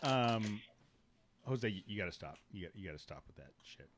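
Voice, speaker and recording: A man's voice: fairly low pitch, animated, normal speed, a bit bright, slight background noise. Man hosting a casual podcast. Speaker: podcast host. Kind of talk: casual chat.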